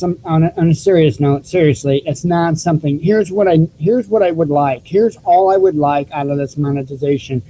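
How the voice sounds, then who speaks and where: A man with a squeaky voice hosting his own YouTube news channel, talking casually to viewers through a cheap desktop microphone with many restarts and fillers. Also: mellow, slight background noise, poor audio. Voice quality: squeaky voice, self-described. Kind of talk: casual vlog talk to viewers.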